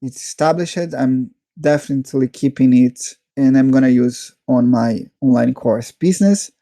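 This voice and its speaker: Man, mid-pitched, mellow soft-edged tone, dry close recording, casual software review, matter-of-fact. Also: normal speed, no room sound. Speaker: software reviewer, channel host. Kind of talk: casual screen-share product walkthrough.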